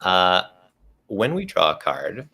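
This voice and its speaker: A man's voice: sits low, huge pitch swings, slow pace, gravelly, sharp tone. A man explaining the rules of a solo tarot role-playing game in a casual, self-deprecating way. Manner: self-deprecating, laughs at himself. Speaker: guest game runner.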